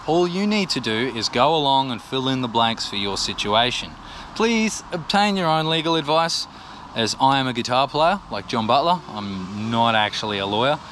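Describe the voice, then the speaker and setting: Casual Australian male vlogger, bright forceful tone, laid-back colloquial phrasing, slight background noise. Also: medium pitch. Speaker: vlogger, musician. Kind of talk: casual explainer to camera.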